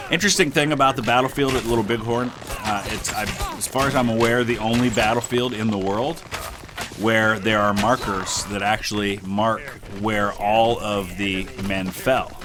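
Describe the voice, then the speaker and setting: A middle-aged man hosting a gaming channel, with a stuffed-up nose, rambling through a relaxed history commentary full of "uh" and "um". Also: fairly low pitch, noisy background. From Ohio, United States. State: stuffed-up nose from a sinus infection. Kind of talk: casual history commentary over gameplay.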